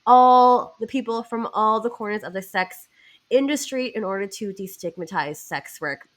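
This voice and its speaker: A woman's voice: mid-range voice, moderate pace. A woman hosting a podcast in a casual, bubbly, giggly way, her nose stuffed up from a cold. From Canada. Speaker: podcast host.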